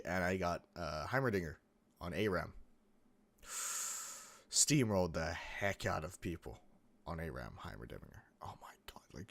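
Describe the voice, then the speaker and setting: A young man hosting a gaming channel, chatting casually over gameplay in a rambling way with lots of restarts, his voice a bit croaky from coming down with a cold. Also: low-pitched. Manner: rambling, many restarts and fillers. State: getting sick, a bit croaky.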